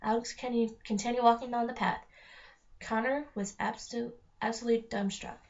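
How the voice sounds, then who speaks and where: High-voiced woman reading a story aloud. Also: steady tone, moderate pace, gravelly. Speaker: narrator reading a novel aloud. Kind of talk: read-aloud children's story narration. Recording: dull lo-fi home recording.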